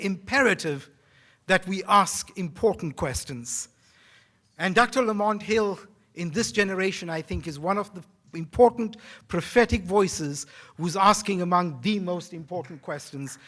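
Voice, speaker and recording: An older man with a South African accent moderating at a university event, speaking in a measured, courteous way. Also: sits high, fairly bright tone. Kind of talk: formal remarks at a podium.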